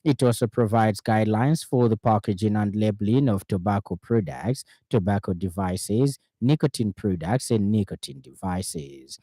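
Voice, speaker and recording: Mellow low-voiced Zambian male newsreader. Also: normal speed, few pauses, no room sound. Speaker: radio news presenter. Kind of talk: formal scripted news reading. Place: radio studio. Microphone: close studio microphone.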